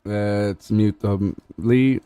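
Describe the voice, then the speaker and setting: A man hosting a music-mixing tutorial, walking through the steps in a relaxed, explanatory way with frequent restarts. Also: on the low side, drawn-out words, background noise. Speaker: host demonstrating music mixing. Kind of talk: casual tutorial walkthrough.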